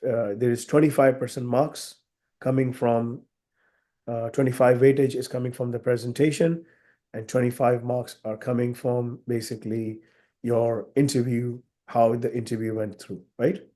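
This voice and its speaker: A man in his thirties on a video call, briefing a student before an oral exam; he is under the weather, with a husky voice and frequent throat clearing, speaking in a measured way with many fillers. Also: medium pitch, flat delivery, mellow. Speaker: examiner.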